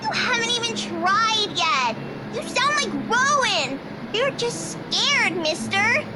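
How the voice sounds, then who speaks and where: A woman's voice: very high-pitched, very animated, slow, heavy background noise, heavily acted. A woman voicing an innocent, curious, earnest little girl in a visual novel, plain-spoken and childlike, with music behind her. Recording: loud music behind the dialogue.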